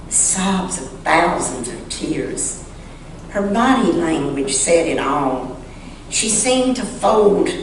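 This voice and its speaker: A woman reading a story aloud in a dramatic, deliberate way, pausing expressively between short phrases. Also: lowish voice. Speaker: church member reading a story to the congregation. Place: large church sanctuary. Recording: echoey church sanctuary, distant mic, faint noise.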